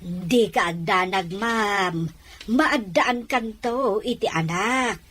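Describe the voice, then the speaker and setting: A woman's voice: mid-range voice, slow pace, fairly bright tone. A woman with an Ilocano accent acting a deferential, reassuring older household nanny in an audio drama.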